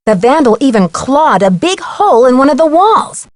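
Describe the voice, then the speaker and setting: A woman's voice: high-pitched, very animated, moderate pace, no room sound. A woman playing a plucky, level-headed young amateur detective in scripted video game dialogue. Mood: matter-of-fact. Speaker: game voice actor.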